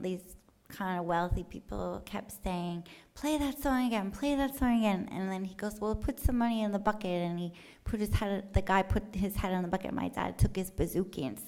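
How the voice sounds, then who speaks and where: A woman's voice: mid-range voice, darkish tone. A woman artist giving a casual, unscripted talk to an audience, rambling and joking in an offhand, colloquial way. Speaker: artist giving a talk about their work. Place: live talk in front of an audience.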